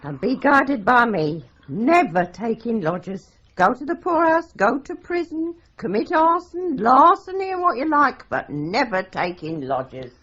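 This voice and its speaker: A woman's voice: fairly high pitch, unhurried, few pauses, a little hiss or hum. An actress playing a talkative, stern older landlady in a radio drama, running on with advice. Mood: chatty. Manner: chatty, lively, runs on with advice. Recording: dull lo-fi broadcast recording.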